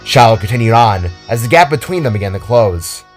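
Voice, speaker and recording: A man's voice: fairly low pitch, very animated, brisk, over music. A man reading scripted fiction as a dramatic story narrator.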